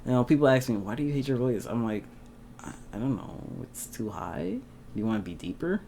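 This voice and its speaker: A young man chatting casually to his camera at home, laughing often and getting animated at times. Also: mid-range voice, brisk, gravelly, a little hiss or hum. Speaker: vlogger. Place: indoors at home.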